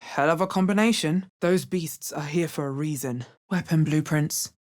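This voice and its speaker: An actress voicing a guarded, practical female adventurer in a video game, with a husky voice and dry, understated delivery. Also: deep voice, moderate pace, lightly acted. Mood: wry, focused. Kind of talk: video game character voice lines.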